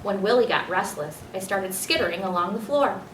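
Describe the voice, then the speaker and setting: A woman's voice: mid-range voice, brisk, close to an everyday voice. Woman reading as a clever storybook hamster, roomy sound. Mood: playful, self-assured. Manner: expressive children's storybook reading. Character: clever, self-satisfied pet hamster narrating his adventures. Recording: roomy, distant mic, faint noise.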